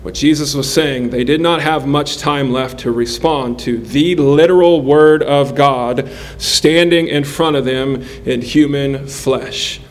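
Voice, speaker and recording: A man in his forties preaching a sermon in an earnest, measured way, pausing between phrases. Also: mid-range voice. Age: 40s. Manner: earnest, measured delivery with pauses.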